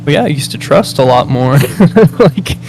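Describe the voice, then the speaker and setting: A man's voice: mid-range voice, lively intonation, moderate pace. A young man with a Midwestern accent, talking in a casual, reflective way with lots of fillers and restarts. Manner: hesitant, many fillers and restarts, laughs often. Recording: music playing behind.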